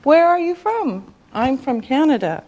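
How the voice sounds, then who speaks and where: A woman teaching English to beginners, enunciating carefully and deliberately in a scripted lesson. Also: high voice, big pitch swings, warm tone, rough recording.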